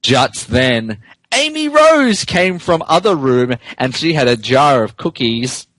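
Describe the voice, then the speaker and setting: A man hosting a podcast, switching between casual banter and comic dramatic reading in an amused, animated manner. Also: mid-range voice, takes it slowly, no room sound. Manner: animated, amused, breaks into laughter while reading. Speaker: podcast host and narrator.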